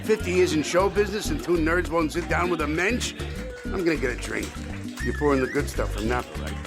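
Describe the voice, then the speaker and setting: An actor playing an older, brash show-business mogul in an audio drama, boastful and kibitzing with Yiddish slang. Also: male voice, fairly high pitch, fairly quick, lightly acted. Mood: blustering. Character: brash old show-business movie mogul, wisecracking deal-maker. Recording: music playing behind the voice.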